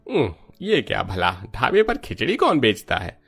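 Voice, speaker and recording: A man playing an expressive, fussy food stall customer in a cartoon story. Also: medium pitch, normal speed, vocal fry, slightly rough, heavily acted. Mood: dismissive.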